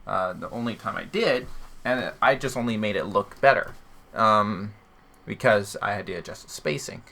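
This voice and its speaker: A man's voice: fairly low pitch, normal speed, creaky, bright, forceful tone. A man hosting a podcast in casual conversation, explaining his points emphatically. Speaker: podcast host. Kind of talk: casual chat.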